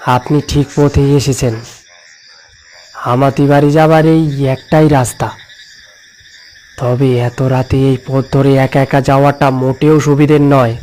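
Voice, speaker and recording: A man's voice: mid-range voice, a little mellow, close to an everyday voice. A man playing a concerned villager in a cartoon ghost story, politely giving ominous warnings. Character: cautious, concerned male villager warning a traveller.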